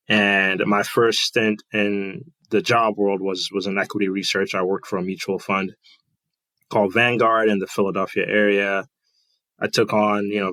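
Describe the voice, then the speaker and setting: A man from Trinidad and Tobago answering as a podcast guest, measured and thoughtful. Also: lowish voice, fairly bright tone, no echo, low-quality recording. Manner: measured, thoughtful, with fillers and restarts. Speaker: guest, finance director. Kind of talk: interview answers.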